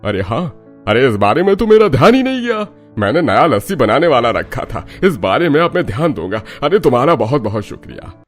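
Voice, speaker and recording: Man as a plain-spoken townsman, medium pitch. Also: very animated, brisk, faint music behind, close to an everyday voice. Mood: puzzled.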